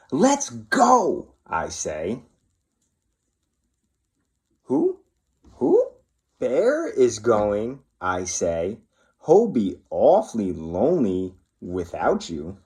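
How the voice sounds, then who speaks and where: A man, a police officer, talking and reading to children in an expressive way, addressing them directly. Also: fairly low pitch, very slow, husky. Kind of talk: children's read-aloud and talk to pupils.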